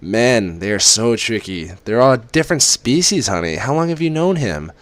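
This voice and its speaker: A man's voice: mid-range voice, very animated, normal speed, no echo, pitched above the performer's own voice, lightly acted. A man reading aloud and voicing a doting, chatty middle-aged mother who fusses affectionately. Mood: fussing. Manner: fussy, affectionate, lilting.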